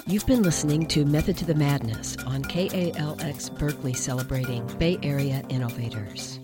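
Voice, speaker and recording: A woman's voice: low voice, a little fast, over loud music. A woman hosting a public affairs radio show, asking brief, friendly questions and closing the program.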